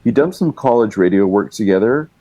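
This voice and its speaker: A man with a very low, deep voice spins long anecdotes in relaxed conversation. He wanders through fillers and restarts, picking up speed when he gets animated. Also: brisk. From Canada. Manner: rambling, animated storyteller with many fillers. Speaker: guest musician and writer. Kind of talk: casual storytelling chat.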